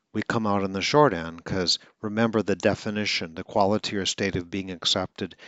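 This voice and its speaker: A man with a lowish voice talks as a speech coach, earnest and plain, now and then catching on a sound and restarting a word. The recording is dry and close with a dull, muffled tone. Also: a bit bright, no echo. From Atlanta, Georgia. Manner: earnest, with occasional stutters and self-corrections. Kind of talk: casual talk.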